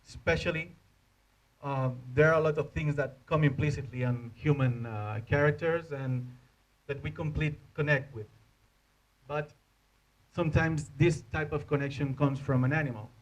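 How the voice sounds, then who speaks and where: Dull-sounding, hesitant Spanish-accented man presenting. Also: medium pitch. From Spain. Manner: hesitant, halting non-native English delivery. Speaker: animator giving a conference talk. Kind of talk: informal presentation to an audience. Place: indoor hotel conference room. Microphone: handheld microphone.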